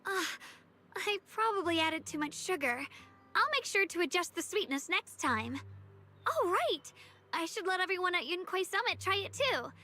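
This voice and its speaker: An actress playing a sweet, caring young woman in an anime-style video game, speaking in a gentle, earnest and slightly hesitant way. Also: very high voice, big pitch swings, normal speed, exaggerated voice. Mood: shy, eager. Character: sweet, caring young woman who bakes, shyly wistful. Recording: background music under voice.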